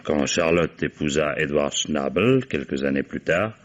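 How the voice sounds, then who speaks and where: An actor playing a weary, shrewd and commanding police commissioner in a vintage French radio mystery, deliberate and musing. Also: male voice, extremely low pitch, animated, slight background noise. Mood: reflective, explaining. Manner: deliberate questioning with musing interjections. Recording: dull vintage radio drama recording.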